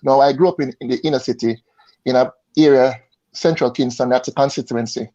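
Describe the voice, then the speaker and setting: A man's voice: mid-range voice, steady tone, brisk, dark tone. A man with a Jamaican accent talking casually as an interview guest, often repeating words as he searches for them. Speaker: interview guest, insurance advisor. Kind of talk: casual conversational interview answers. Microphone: online call. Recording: dull lo-fi online call audio.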